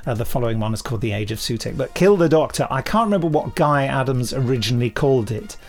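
A man's voice: medium pitch, expressive, quick pace, faint music behind. A British man in casual podcast chat, rambling and gleeful as he hosts. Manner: chatty, rambling, gleeful quoting. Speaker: podcast host and drama director.

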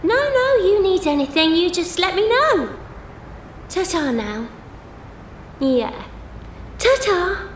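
A high, chatty mum. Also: female voice, sing-song, takes it slowly, long pauses, heavily acted. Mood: flustered, keen. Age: middle-aged. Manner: gossipy, rambling, breezy. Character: chatty, fussing working-class London mum, cheeky and lonely. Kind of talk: audio drama monologue acting.

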